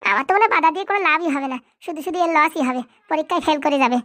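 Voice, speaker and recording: A woman's voice: sits high, animated, very fast, a bit bright. A woman voicing a young school pupil in a comic cartoon, chattering. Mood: bantering.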